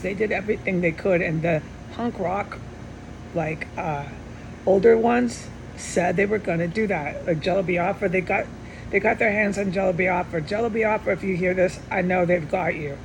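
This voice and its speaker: A middle-aged woman giving a rambling, earnest personal monologue with insistent, repeated emphasis and a gravelly voice. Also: low-quality recording. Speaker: vlogger. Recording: steady background hum and rumble.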